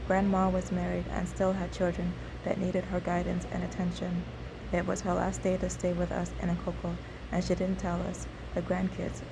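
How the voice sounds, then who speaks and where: Woman narrator, memoir reading, level monotone delivery, clear background hiss. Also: lowish voice. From Nigeria. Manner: steady, unhurried reading with occasional restarts. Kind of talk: reading her memoir aloud.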